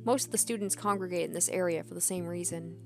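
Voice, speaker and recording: A woman narrating a visual novel aloud as a let's player, expressive, with casual commentary. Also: on the low side, quick pace, gravelly. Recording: background music under the voice. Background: game music.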